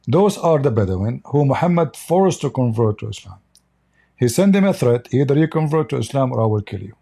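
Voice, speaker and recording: A man whose first language is Arabic talks in a blunt, lecturing monologue, hammering his points home. His voice is dark and mellow with a husky edge. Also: medium pitch. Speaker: commentator. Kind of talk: religious commentary monologue.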